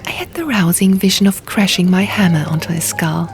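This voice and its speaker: A woman's voice: lowish voice, lively intonation, a little fast. A woman reading a fantasy novel aloud as scripted, dramatic audiobook narration. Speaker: audiobook reader. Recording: music behind the reading. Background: music and sound effects.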